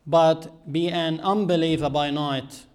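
A man with a high voice delivers an earnest religious sermon. He speaks slowly and deliberately, pausing often between phrases as he teaches. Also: fairly even intonation. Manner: measured, earnest teaching with frequent pauses. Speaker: Islamic preacher.

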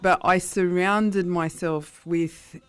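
A woman with an Australian accent whose first language was Italian, chatting as an interview guest in a rambling, relaxed way with light laughs. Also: fairly low pitch, slow pace, clean tone. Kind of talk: casual conversational storytelling.

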